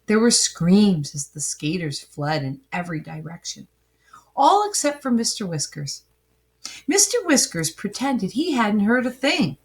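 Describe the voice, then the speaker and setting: A woman narrates a children's storybook. Her delivery is slow and dramatic, with deliberate pauses and a very animated, wide-ranging pitch over a slightly varied, middle-register voice. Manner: dramatic, emphatic phrasing with pauses. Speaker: storybook reader. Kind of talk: expressive children's story narration, with asides to listeners.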